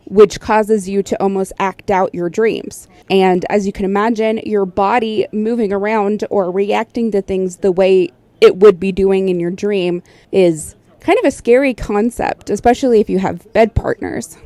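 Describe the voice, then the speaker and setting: Woman doing casual explainer commentary, soft mellow tone, dry close mic. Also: medium pitch, no room sound. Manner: conversational, explanatory. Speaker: host, sleep technologist.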